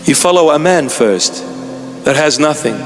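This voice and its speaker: A man's voice: on the high side, lively intonation, moderate pace. Bishop guy in his fifties preaching, earnest and emphatic, big echoey hall, music behind. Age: 50s. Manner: earnest, emphatic, repeating phrases. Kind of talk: sermon.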